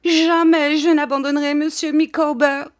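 A woman's voice: high-pitched, flat delivery, normal speed, voice pushed up, natural voice. A woman voicing a melodramatic, devoted middle-aged wife who wails and exclaims in distress. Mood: hysterical devotion.

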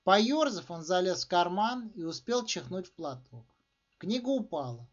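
A man reading his own literary short story aloud in a theatrical, expressive way, as an author narrating. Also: high voice, normal speed. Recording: dull, slightly muffled recording.

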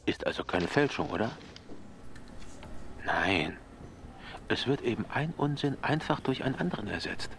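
An actor playing a brooding office worker in a dystopian radio drama, musing haltingly in a hushed voice. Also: male voice, fairly low pitch, sing-song. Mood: brooding, bitter. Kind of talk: radio drama inner monologue. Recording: audible background noise and ambience.

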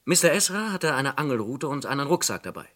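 An actor playing a calm, persistent investigator in a German radio crime play, questioning in a measured, polite and insistent way. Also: male voice, medium pitch. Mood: probing.

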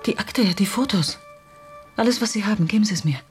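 A woman's voice: mid-range voice, a little fast, faint music behind, lightly acted. An actress in a radio drama playing a determined, anxious woman with an insistent, emotional delivery. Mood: alarmed, urgent. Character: Martha, urgent, determined, anxious woman investigator. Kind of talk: German radio drama acting.

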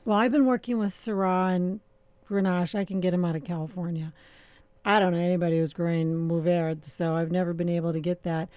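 Mellow-voiced middle-aged woman winemaker. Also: fairly low pitch, dry recording. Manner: relaxed and chatty, with many filler pauses and easy laughter. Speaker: winemaker guest. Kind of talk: casual radio interview.

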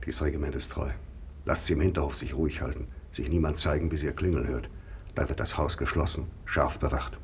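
A grim, secretive commander in an old radio drama. He gives terse orders in an extremely deep, gravelly voice, over clearly noisy sound. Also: steady tone, a little fast. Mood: guarded, stern. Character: grim, secretive commanding officer arranging an armed trap. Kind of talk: 1950s German radio drama acting.

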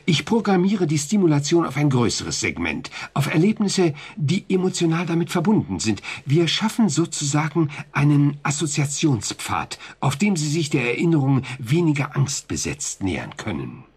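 Radio play psychiatrist, really husky, voice moves up and down a lot, clinical but reassuring, bit hesitant. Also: male voice, a little high, big pitch swings, moderate pace, hardly any pauses, a little mellow, exaggerated voice. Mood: professional, reassuring. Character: conscientious male psychiatrist, probing, reassuring, easily unsettled. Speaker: doctor. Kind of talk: German radio drama acting.

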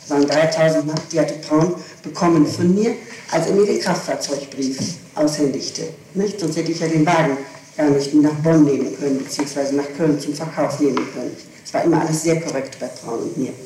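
A woman's voice: low voice, warm tone. A woman being questioned, recounting events in insistent detail and often correcting herself as she goes. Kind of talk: recounting events under interrogation. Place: echoing room, archival tape recording. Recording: very echoey, noisy old interrogation tape.

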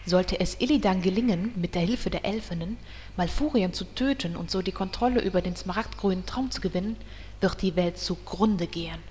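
A woman's voice: lowish voice, a little fast, a little mellow, in a large hall, slight background noise, lightly acted. An actress playing a solemn female elf priestess with a grand, mock-solemn prophetic delivery.

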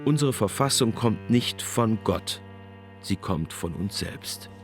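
A man reading scripted narration for a radio documentary in a measured, essayistic storytelling manner. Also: on the low side, expressive, over music.

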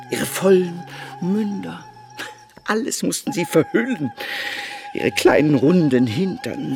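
An actor playing an eccentric, lecherous missionary preacher in a radio play, rambling and fawning with self-satisfied rhetorical questions. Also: male voice, high voice, exaggerated voice. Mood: leering rapture.

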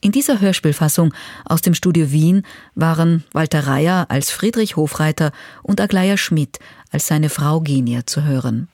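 A woman's voice: low-pitched, normal speed, darkish tone, no room sound. Female radio presenter, scripted narration, dry intimate acoustic.

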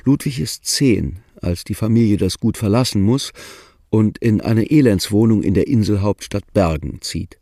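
Male narrator, low mellow voice, dry close sound, matter-of-fact. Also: normal speed, creaky, no echo. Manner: steady, matter-of-fact storytelling. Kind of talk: documentary narration.

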